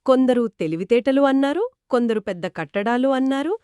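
A woman's voice: sits high, expressive, fairly quick, warm tone, smooth, no room sound, studio quality. A woman co-narrating a children's moral story in a conversational storytelling style. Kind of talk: conversational two-person storytelling.

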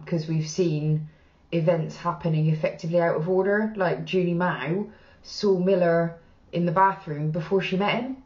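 A frank, chatty woman in her thirties with a Bristolian accent talks through her thoughts on a show, swearing now and then. Her voice sits fairly low. The room around her rings a little, and the sound is slightly dull. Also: a little mellow, reverberant. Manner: chatty and frank, casual swearing, gestures while talking. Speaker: reaction channel host. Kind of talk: casual reaction commentary. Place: small plain room indoors.

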